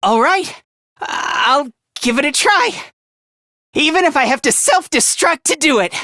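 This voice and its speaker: A man's voice: extremely high pitch, lively intonation, unhurried, pushed and bright, no echo, studio quality, full character voice. A man voicing a timid, self-loathing young male student fighter in an English video game, hesitant and stammering as he puts himself down. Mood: nervous resolve.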